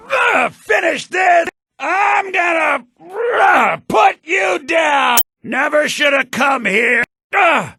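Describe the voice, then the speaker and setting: An actor playing a hot-tempered fantasy villager in video game combat barks, his voice rough and strained. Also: very high-pitched, bright, forceful tone, dry recording, clean studio sound, heavily acted.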